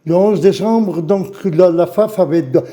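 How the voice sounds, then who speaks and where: An older man from Algeria tells his eyewitness story as a guest in a TV studio. His voice sits high for a man but sounds very dark and mellow. He speaks haltingly and often repeats his phrases. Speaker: witness guest. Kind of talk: spoken testimony in a studio interview.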